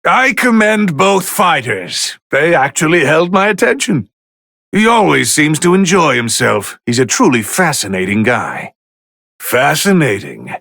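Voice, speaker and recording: An actor playing a haughty, bored, easily irritated god of destruction who gives pompous, theatrical commentary in a fighting video game, with a gravelly voice. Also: male voice, on the high side, very animated, unhurried, pushed and bright, no room sound, clean studio sound, heavily acted. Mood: approving. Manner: pompous, theatrical, drawling disdain.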